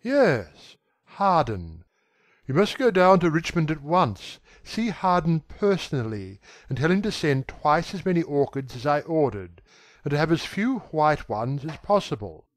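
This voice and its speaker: A man voicing a young gentleman who speaks rapidly and with authority, at times pleading. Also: a little high, sing-song, no echo, pitched above the performer's own voice. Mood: brisk, commanding. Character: young gentleman, commanding yet anguished.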